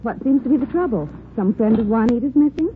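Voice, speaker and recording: A woman's voice: a little high, lively intonation, quick pace, noisy background, natural voice. Woman playing a proper hotel clerk, tinny old radio. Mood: puzzled, helpful. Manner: formal, proper, precise. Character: proper, courteous woman hotel desk clerk, faintly officious. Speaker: actress in a radio detective series. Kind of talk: 1940s radio acting. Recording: tinny 1949 radio recording, faint music.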